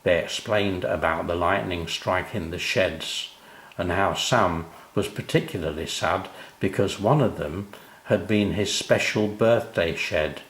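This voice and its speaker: An older man narrating his own children's story in an expressive storytelling style. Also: sits low, measured pace, echoey room, poor audio.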